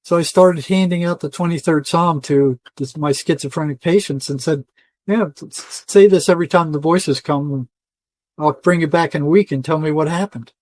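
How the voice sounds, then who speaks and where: A man's voice: on the high side, normal speed, a little mellow, no room sound. An older man speaking as an interview guest in a conversational, colloquial and emphatic storytelling way. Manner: emphatic, colloquial, often quoting imagined dialogue. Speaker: interview guest, retired psychotherapist.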